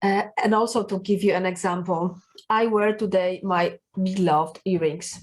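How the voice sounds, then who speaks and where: A woman's voice: mid-range voice, steady tone, moderate pace, low-quality recording. Polish-accented woman giving style advice. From Poland. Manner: earnest and encouraging, with many fillers and restarts. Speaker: personal brand coach. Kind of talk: talking to camera, giving advice.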